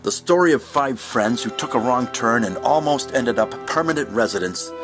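A man playing a solemn, ominous narrator of an eerie anthology show, with measured, portentous delivery. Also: mid-range voice, animated, over music, close to an everyday voice. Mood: ominous, ironic. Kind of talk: scripted dramatic narration.

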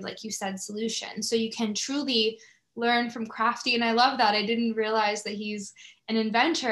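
A woman's voice: medium pitch, a bit bright. A woman hosting a conversational podcast interview, affirming and reflective as she talks.

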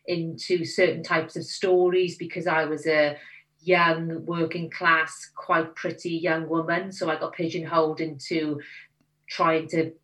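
A Welsh woman in middle age, guesting on an interview podcast. Her voice sits a little low yet rings bright and forceful. She speaks frankly and stresses her points with conviction. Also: little pitch movement, measured pace, rough recording. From Wales. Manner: candid and emphatic. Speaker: magazine editor, guest.

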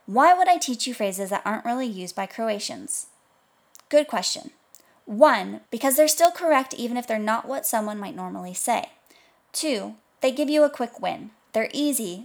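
Higher-voiced woman teaching phrases. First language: English. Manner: clear, slow repetition of foreign phrases. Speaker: language podcast instructor. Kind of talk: scripted podcast lesson.

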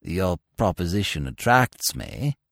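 A man reading aloud, voicing a lovesick young man who speaks softly, slowly and wistfully. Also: sing-song, creaky, dry recording, clean studio sound, full character voice. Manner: low, hushed, slow and wistful.

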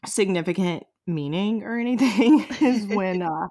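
A woman's voice: medium pitch, a little slow, dark tone. A woman hosting a casual podcast discussion, informal and jokey in how she talks. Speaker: podcast host.

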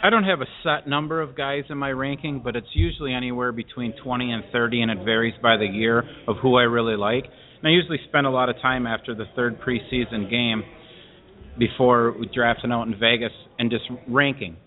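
A man's voice: medium pitch, steady tone, a little fast. A man guesting on a casual fantasy football podcast. He talks in dry, blunt one-liners. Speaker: guest player. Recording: phone-like narrow sound.

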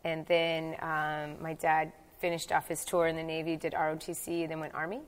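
Lower-voiced woman from New Jersey, a chatty dance teacher, rambling with easy laughs. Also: completely flat delivery, normal speed. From New Jersey, USA. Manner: chatty storyteller, laughs easily, long run-on answers. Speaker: podcast guest, dance instructor. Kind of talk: casual interview chat.

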